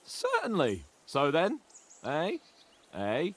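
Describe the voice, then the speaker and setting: A man's voice: on the high side, wildly swooping pitch, takes it slowly, full character voice. An actor playing a cocky, teasing young nobleman in a game cutscene, joking and boastful with his friend. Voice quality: playful, mocking.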